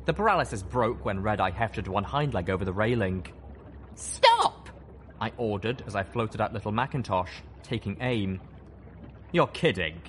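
A man narrating a first-person story as an expressive audiobook reader. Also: lowish voice, brisk, hardly any pauses, slight background noise.

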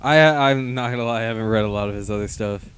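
A man's voice: on the low side, fairly even intonation, rapid-fire, clean tone. A young man chatting casually as a co-host on a review panel, talking into a handheld microphone. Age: young adult.

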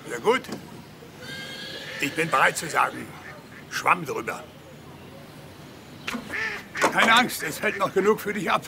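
An actor playing a sick, stubborn older aristocratic father with a gravelly, sharp-tongued delivery in a crime audio drama. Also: on the high side, very animated, normal speed, noisy background. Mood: scornful, bitter.

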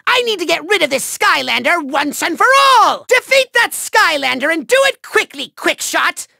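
A man voicing a petty, boastful, tantrum-prone villain overlord in a video game, theatrical, taunting and whiny, with a shrill nasal voice. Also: sing-song, normal speed, creaky, bright, forceful tone, heavily acted. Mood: bossy, urgent. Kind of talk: over-the-top video game villain acting.

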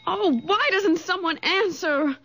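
A woman's voice: very high voice, takes it slowly, pushed and bright, heavily acted. An actress playing a frightened, frantic young woman in a radio drama, with urgent exclamations. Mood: alarmed, urgent. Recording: old radio broadcast, faint noise.

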